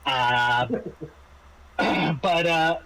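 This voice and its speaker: A man with a slightly high voice acts as game master, laying out a scenario for his players. He speaks slowly and haltingly, restarting phrases as he explains in a casual way. There is faint noise behind him. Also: creaky, pushed and bright, poor audio. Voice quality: halting delivery with frequent hesitations and restarts. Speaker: game master of a tabletop roleplaying session. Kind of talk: semi-improvised briefing to players, narrating a scenario.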